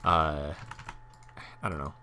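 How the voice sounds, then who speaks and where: A young man with a hoarse voice from a cold, rambling casually with many fillers while talking about a game he streams. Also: very deep voice, little pitch movement, slow, pushed and bright, slight background noise. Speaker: gaming YouTuber and streamer. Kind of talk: casual talk over gameplay.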